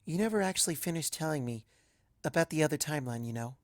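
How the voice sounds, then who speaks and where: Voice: mid-range voice, expressive, fast, dry recording, close to an everyday voice. A young pegasus mare, as a storybook narrator plays her, talks in an affectionate, playful way, both teasing and reassuring. The voice sits mid-range in a dry, close recording. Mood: tender, reassuring.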